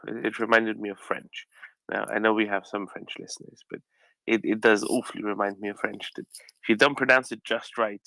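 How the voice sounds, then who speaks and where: Gravelly, hesitant man hosting. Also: on the low side, bright, forceful tone, no echo, poor audio. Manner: musing, hesitant, many uh fillers. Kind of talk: casual chat in an online audio room.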